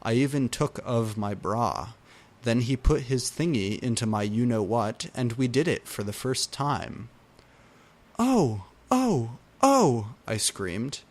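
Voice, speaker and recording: A man giving a comedic dramatic reading of fan fiction, deadpan and theatrical with drawn-out emphasis. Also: mid-range voice. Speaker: fanfiction reader.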